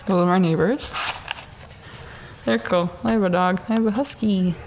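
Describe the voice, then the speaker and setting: A young woman hosting a casual solo podcast, chatting and rambling with a self-mocking streak and plenty of "like" fillers, recorded at home in the dark. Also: medium pitch, fairly even intonation, moderate pace, background noise. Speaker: podcast host. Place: at home in the dark during a power outage.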